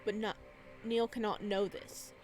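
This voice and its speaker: A woman's voice: medium pitch, flat delivery, unhurried, a little mellow, poor audio. A woman hosting a gaming video, reading visual novel narration aloud. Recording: some background noise under voice.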